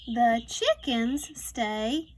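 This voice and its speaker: A woman teacher reading a picture book aloud to young students, slowly and deliberately, stretching words and adding teaching asides. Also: on the high side, sing-song, slight background noise.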